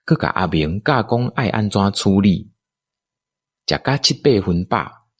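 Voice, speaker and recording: A man with a Taiwanese accent narrating a scripted radio drama in expressive storytelling style. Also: lowish voice, a little slow, breathy edge, dry recording, clean studio sound.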